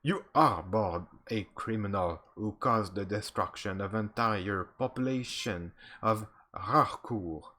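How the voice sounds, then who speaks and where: A man voicing a stern male alien warrior admiral from a game, reading the lines a little stiffly with husky tone. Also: fairly low pitch, measured pace, hardly any pauses, pushed and bright. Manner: reads stiffly with slips.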